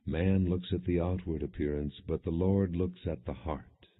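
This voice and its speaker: Male audiobook narrator for scripture: very low, husky voice, solemn scripted read, narrow phone-like sound. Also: fairly even intonation, moderate pace.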